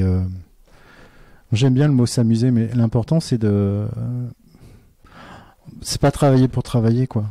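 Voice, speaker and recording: A man's voice: mid-range voice, dark tone, no room sound. A man, a teacher, talking earnestly in a casual interview, with many fillers and restarts. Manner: earnest and reflective.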